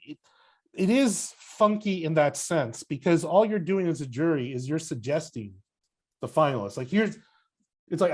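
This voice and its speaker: A man's voice: on the high side, darkish tone. Guy critic on a podcast, rambles a lot, keeps saying you know, starts over mid-sentence. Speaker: guest, book critic and prize juror. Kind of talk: casual podcast conversation.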